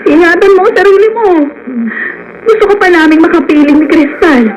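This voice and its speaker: A woman's voice: very high voice, a little fast, faint music behind. A woman playing a worried, loving middle-aged wife and mother in a Filipino radio drama, tender and pleading. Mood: tearful pleading. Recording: muffled radio broadcast, voices behind.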